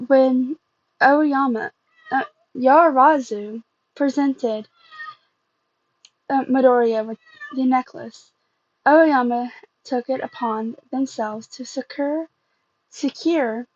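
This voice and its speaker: Woman w high voice reading fanfic slowly, keeps stumbling n repeating bits, kinda muffled lofi recording. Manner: halting, stumbles and repeats phrases. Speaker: amateur fan fiction reader. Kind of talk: reading fan fiction aloud.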